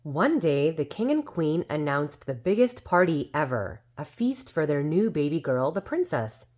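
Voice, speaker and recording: A woman's voice: sits low, very animated, unhurried, a little mellow, full character voice. A woman voicing a prim, know-it-all younger sister fairy, telling a storybook tale in a smug, critical, gossipy way. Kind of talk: storybook character narration.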